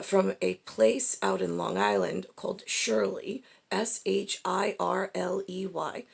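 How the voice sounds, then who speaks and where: A woman giving a rambling, off-the-cuff monologue in a halting, digressive way, often breaking off mid-sentence, in a husky, gravelly voice. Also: mid-range voice. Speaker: speaker in a personal monologue.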